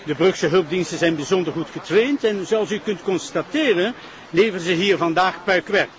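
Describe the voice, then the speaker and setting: A man's voice: sits high, normal speed, natural voice. A man playing a self-important, hesitant public prosecutor with a Flemish accent in a television drama, formal and official. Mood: formal, assertive. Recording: slightly dull television drama sound.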